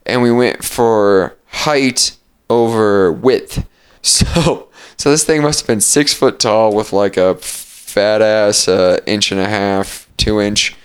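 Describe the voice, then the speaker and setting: A man's voice: lowish voice, slow pace, clear, resonant tone. A young man hosting a podcast, telling loose rambling stories with frequent laughs and casual swearing. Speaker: podcast host. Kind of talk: casual chat.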